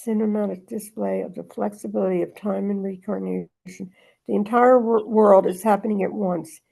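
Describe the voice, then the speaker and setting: A woman's voice: mid-range voice, steady tone, moderate pace, vocal fry, mellow, rough recording. A woman talking casually and reading aloud in a spiritual discussion group, in a rambling, emphatic way that circles back on itself.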